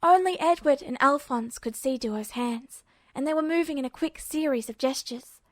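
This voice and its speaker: A woman's voice: high voice, moderate pace, no echo. A woman reading scripted fiction aloud as a story narrator.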